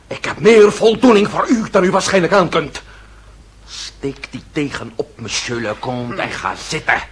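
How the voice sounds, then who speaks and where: A man's voice: fairly high pitch, moderate pace, slightly rough. An actor playing a mocking, self-assured nobleman in a period radio drama, speaking with ornate, icy politeness. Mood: mocking, composed.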